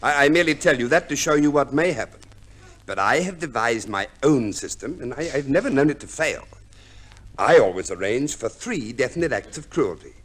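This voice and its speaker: Fussy, scheming lawyer, swooping delivery. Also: male voice, mid-range voice, animated, fairly quick, a bit bright. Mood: relishing instruction. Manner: hesitant stammering, pedantic, precise. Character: fussy, shrewd male divorce lawyer, theatrically scheming. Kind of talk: 1950s radio play acting.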